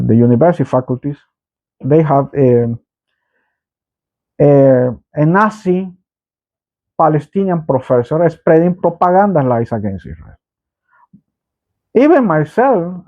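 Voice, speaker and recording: A middle-aged man from El Salvador with a Salvadoran Spanish accent gives opinionated political commentary in an emphatic, halting way. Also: a little high. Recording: dull lo-fi microphone sound.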